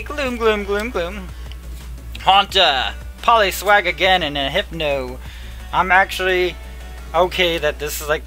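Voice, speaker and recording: A young man chatting casually while opening card packs, murmuring card names and getting excited now and then, his voice going hoarse. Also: medium pitch. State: losing his voice. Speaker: card pack opener. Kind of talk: casual pack-opening commentary. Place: small room at a table. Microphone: headset.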